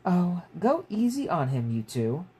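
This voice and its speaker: A man reading a story aloud as a gentle, protective mother, with a soothing, reasoning manner. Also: sits high, huge pitch swings, measured pace, pitched above the performer's own voice, full character voice. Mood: gently pleading. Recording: clear background noise.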